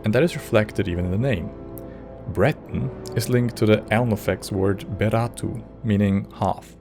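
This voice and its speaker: A man reading scripted lore narration in a measured, wry, explanatory way, as a video essay narrator. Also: lowish voice. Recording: music bed under narration. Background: background music.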